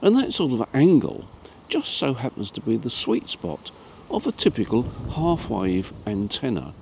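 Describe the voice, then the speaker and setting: A British man in his seventies presenting an informal explanatory lesson to camera in a measured, didactic way. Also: mid-range voice, sing-song, gravelly, a little mellow, slight background noise. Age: 70s. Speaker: amateur radio presenter. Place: small home studio room.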